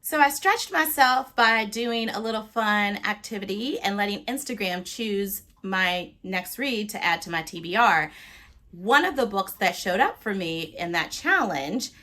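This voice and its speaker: A woman talking casually to viewers as a book vlogger, with an expressive, playful and emphatic delivery. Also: medium pitch, measured pace. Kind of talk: casual, chatty talk to viewers.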